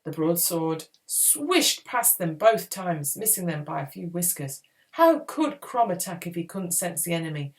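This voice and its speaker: A woman reading a children's adventure book aloud in a dramatic, expressive storytelling style. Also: on the low side, a little slow. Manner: expressive, acts out dialogue. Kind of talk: dramatic read-aloud narration.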